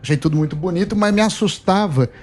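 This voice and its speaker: High-voiced Brazilian man, halting delivery. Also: darkish tone. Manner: halting, repeats words. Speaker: talk show panelist. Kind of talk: casual panel chat.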